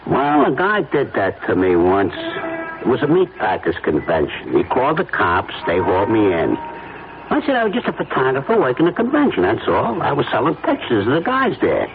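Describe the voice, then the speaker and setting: An actor playing a falsely chummy small-time blackmailer photographer in an old-time radio drama, with folksy uneducated talk and oily friendliness. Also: male voice, medium pitch, huge pitch swings, normal speed. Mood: wheedling, menacing. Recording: tinny band-limited radio recording, faint noise.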